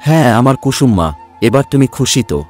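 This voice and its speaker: A man's voice: mid-range voice, expressive, fast, darkish tone, soft background music, close to an everyday voice. A man playing a hardworking poor vegetable farmer and doting father in a cartoon, earnest and good-natured. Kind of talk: Bengali cartoon voice acting.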